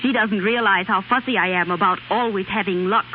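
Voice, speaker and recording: A woman's voice: mid-range voice, brisk, natural voice. A woman acting a neat, fussy, good-natured college girl in a 1930s radio commercial. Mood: earnest, instructive. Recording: tinny, hissy 1930s radio recording.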